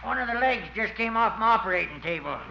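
Folksy old animal doc, easily hurt, voice swings all over, muffled old radio show. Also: male voice, high voice, lively intonation, fast, gravelly. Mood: cheerful, folksy. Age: older. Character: touchy old-fashioned animal doctor, sentimental about his animals. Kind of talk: 1950s radio comedy acting. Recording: muffled old radio recording, faint noise.

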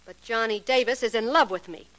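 An actress in a 1940s radio drama playing a resentful, insistent young magician's assistant, pointed and sarcastic. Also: female voice, a little high, fairly quick, fairly bright tone, lightly acted, poor audio.

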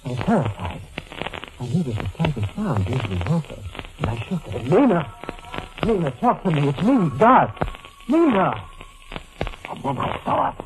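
An actor playing a nervous, desperate murderer husband, agitated and haunted, in 1940s radio melodrama style. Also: male voice, a little high, big pitch swings, moderate pace, long pauses, poor audio. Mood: frantic. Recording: old 1940s radio recording.

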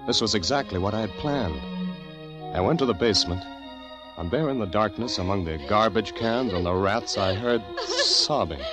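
A very deep-voiced gentleman in an old radio drama, with music clearly behind him. He is earnest and anxious, kindly and inquisitive, and speaks with a measured diarist's care. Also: huge pitch swings. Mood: measured narration. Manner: measured diary narration, stammers when agitated. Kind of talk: 1940s radio drama acting.

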